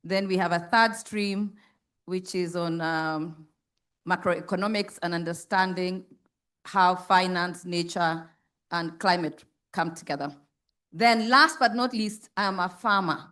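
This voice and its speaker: A woman panelist introducing herself on a conference stage, speaking in a measured way with frequent hesitations. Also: on the low side, fairly bright tone. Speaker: panelist, development executive. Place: conference stage before a live audience.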